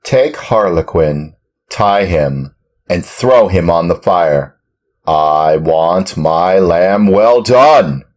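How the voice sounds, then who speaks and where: A blustering, bearded puppet-theater director, put on by a man reading aloud barks his orders in a hoarse, booming voice. The voice sits low and moves at a slow, heavy pace. Every command comes out loud and bossy, as a storybook tyrant should. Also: animated, full character voice.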